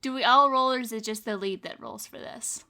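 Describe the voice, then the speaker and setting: A woman's voice: on the high side, a little fast, bright, forceful tone. A woman talking casually as a player in a tabletop game.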